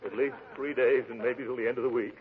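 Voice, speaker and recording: An actor playing a pompous, portly middle-aged factory boss in a 1940s radio comedy, speechifying grandly and stammering when flustered. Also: male voice, medium pitch, fairly even intonation, quick pace, close to an everyday voice. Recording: noisy, tinny 1940s radio broadcast.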